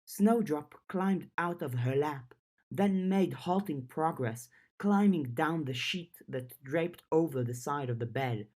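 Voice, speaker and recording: A woman's voice: deep voice, a little mellow. A woman reading a story as scripted audiobook narration.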